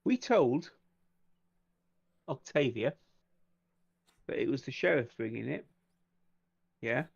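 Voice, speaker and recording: A man's voice: medium pitch, expressive, takes it slowly, gravelly, breathy edge, lo-fi sound. A man playing a tabletop game, taking charge and proposing plans in casual chat.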